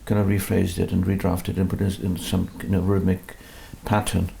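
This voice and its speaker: Casual: composer guy, deep and croaky, keeps restarting his sentences, chatting at home. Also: level tone, brisk, dark tone, slight background noise. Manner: hesitant and modest, with frequent restarts. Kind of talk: relaxed conversational interview. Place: music room in his home.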